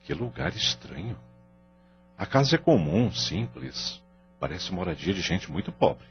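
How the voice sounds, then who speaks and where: A man's voice: low voice, sing-song, measured pace, gravelly, exaggerated voice. A man playing a troubled, evasive businessman and father in an audio drama, with a hesitant, formal manner. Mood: wary. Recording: dull lo-fi audio with music underneath.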